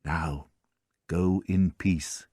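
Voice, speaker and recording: A man voicing a gentle, forgiving old priest who speaks softly and warmly. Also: mid-range voice, huge pitch swings, no room sound, clean studio sound, voice pushed up, heavily acted. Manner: soft, warm, unhurried.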